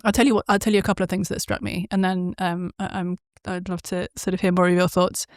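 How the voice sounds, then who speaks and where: A woman's voice: fairly low pitch, little pitch movement, fast, no echo, studio quality. A woman, hosting an interview in casual chat, telling stories with many fillers and frequent laughs. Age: 40s. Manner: chatty storyteller, laughs often, many fillers. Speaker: podcast host.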